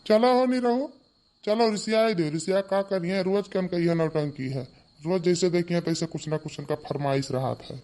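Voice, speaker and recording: A man's voice: sits high, moderate pace, a little mellow, close to an everyday voice. A man voicing a nagging, exasperated villager in a cartoon family comedy, with an Awadhi accent and a rustic, chiding manner.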